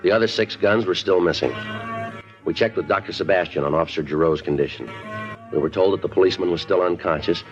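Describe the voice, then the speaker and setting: An actor playing a terse, businesslike police detective in a 1950s radio crime drama, speaking in a clipped, matter-of-fact way. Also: male voice, low voice, fast talker, faint music behind, lightly acted. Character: businesslike, terse male police detective narrating his case. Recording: muffled 1950s radio recording.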